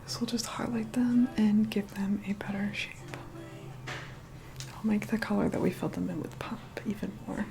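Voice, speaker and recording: A woman's voice: medium pitch, fairly even intonation, moderate pace, vocal fry, music in the background, natural voice. A woman playing a snobbish, reluctant young vampire in an ASMR roleplay, whispering in a sarcastic, bossy, condescending way. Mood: snide, grudging.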